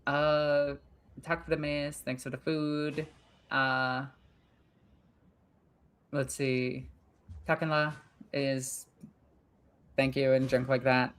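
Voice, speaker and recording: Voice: medium pitch, little pitch movement, slow, pauses often, fairly bright tone, clear, resonant tone. A young adult gaming streamer chatting casually and rambling while playing a strategy game, swearing now and then.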